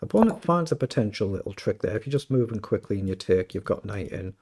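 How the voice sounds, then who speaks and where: A British man with a slightly croaky voice, casually commentating his own chess game and thinking aloud. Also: on the low side, animated, quick pace, mellow. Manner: thinking aloud, self-questioning. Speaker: chess streamer.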